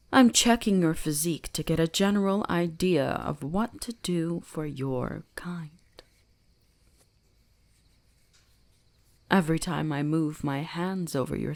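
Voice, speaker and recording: A woman voicing a commanding, centuries-old kitsune shrine guardian in an ASMR roleplay, speaking slowly and deliberately with a teasing gentleness. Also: sits low, creaky, exaggerated voice. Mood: commanding, probing. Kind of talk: scripted ASMR roleplay addressed to the listener.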